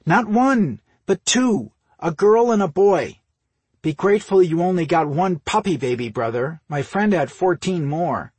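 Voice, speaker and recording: A smugly sweet big sister from an audiobook, acted by a man, teasing her brother with breezy confidence. The pitch sits raised and swings playfully, with a slightly husky edge. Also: big pitch swings, a little fast, no echo, exaggerated voice.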